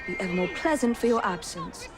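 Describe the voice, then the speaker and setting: An actress playing a sarcastic young woman assassin, quick and dry, over game music. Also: mid-range voice, animated, close to an everyday voice.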